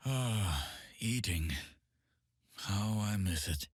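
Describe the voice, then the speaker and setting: Game role: solemn masked swordsman, deep measured delivery, brooding, very dry close sound. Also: lively intonation, breathy edge, no room sound, exaggerated voice. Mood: wistful. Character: solemn, brooding masked swordsman hunting demons between life and death. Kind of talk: scripted video game character voice lines.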